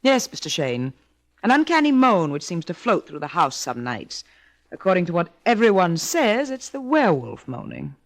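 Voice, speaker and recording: An actress playing a sharp-tongued, anxious wife from a wealthy old family, arch and dramatic in a 1940s style radio drama. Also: female voice, on the low side, very animated, normal speed. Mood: uneasy.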